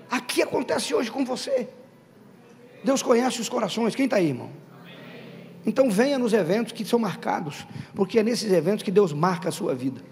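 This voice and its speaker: High-voiced preaching man in a hall. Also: gravelly. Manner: emphatic, calls out to the congregation; builds to loud stressed bursts. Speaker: pastor. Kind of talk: Pentecostal sermon. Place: church hall. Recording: reverberant hall with faint background music.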